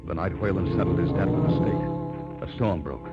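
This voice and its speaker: A young assistant in a vintage radio thriller, uneasy and hesitant. His low voice is grave and measured, on a muffled old recording with loud music behind. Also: sing-song. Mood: grave, ominous. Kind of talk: 1940s-50s radio drama narration.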